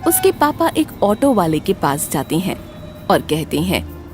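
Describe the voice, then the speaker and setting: A woman narrates an animated spooky tale as a scripted storyteller. Her pitch moves in a lively way over faint background music. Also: measured pace.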